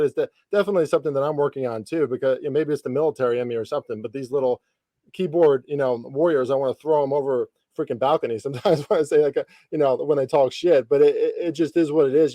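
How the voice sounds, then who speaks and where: A man in his thirties hosts a casual sports podcast. His voice is mellow and relaxed, recorded close and dry. He talks informally, with slang and the odd swear word. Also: medium pitch, fast talker, dry recording. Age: 30s. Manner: informal, lightly profane slang. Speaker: podcast host interviewing a guest.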